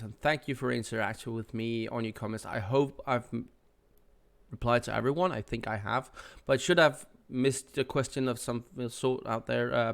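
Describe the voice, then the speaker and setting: Guy on his gaming channel, stuffy nose from a cold, smooth voice, lots of ums. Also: mid-range voice, a bit bright. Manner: rambling, with many fillers and restarts. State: nasal from a cold. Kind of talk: casual channel update.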